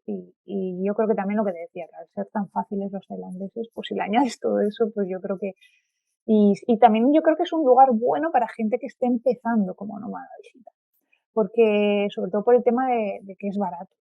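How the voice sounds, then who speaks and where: A woman's voice: medium pitch, brisk, gravelly. Woman from spain w castilian accent, rambly informal chat, tinny phone-ish sound, very dry no room echo. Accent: Castilian Spanish. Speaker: podcast guest. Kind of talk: casual chat. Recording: thin phone-like call audio.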